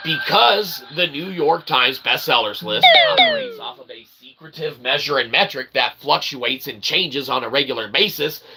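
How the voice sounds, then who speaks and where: A man hosting his own channel, rambling in a monologue that swings from mutters to sudden loud outbursts and keeps asking "okay?". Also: fairly high pitch, wildly swooping pitch, normal speed, gravelly, hardly any pauses, slight background noise. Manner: peppers talk with "okay?" and "literally". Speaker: self-published author and channel host.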